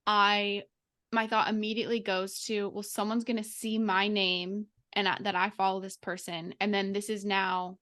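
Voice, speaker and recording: A woman of average pitch talks casually as a podcast co-host. She reasons her way through points reflectively, often seeking agreement as she goes. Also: a bit bright. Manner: reflective, reasoning aloud, checks with "right?".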